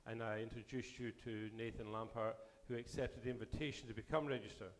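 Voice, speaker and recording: A man serving as bishop, delivering a formal address to a church assembly while losing his voice, with a measured pace and sentences that trail off. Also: mid-range voice, fairly even intonation. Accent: Canadian.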